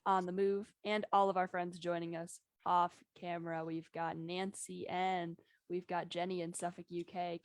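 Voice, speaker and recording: A woman hosting a casual live online gathering, welcoming people one by one in an informal, chatty way. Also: fairly low pitch. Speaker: host of an online daily singing gathering. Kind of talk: casual live greetings and chat. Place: live video call from home.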